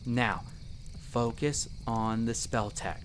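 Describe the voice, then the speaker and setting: A performer voicing a young male elf explorer in a roleplay audio drama, patiently and gently coaching the listener as a magic teacher. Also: mid-range voice, fairly even intonation, slow, a little hiss or hum, close to an everyday voice. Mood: calm, guiding. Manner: gentle, reassuring, coaching step by step.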